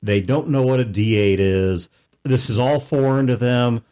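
A man's voice: medium pitch, measured pace, mellow, smooth. A man co-hosting a gaming talk show, laying out ideas step by step in casual chat. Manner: methodical, lays out ideas with examples. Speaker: podcast co-host.

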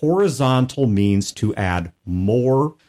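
A man's voice: medium pitch, expressive, slow, dark tone. A man co-hosting a gaming talk show, laying out ideas step by step in casual chat. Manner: methodical, lays out ideas with examples. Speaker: podcast co-host.

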